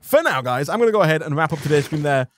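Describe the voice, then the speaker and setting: A rapid-fire male streamer with a fairly high voice, chatting along as he plays. His delivery is upbeat and rambling, with a slight hoarseness, and the recording is close and dry. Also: big pitch swings, dry recording. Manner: rambling, upbeat, thanks viewers by name. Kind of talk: live gameplay stream commentary.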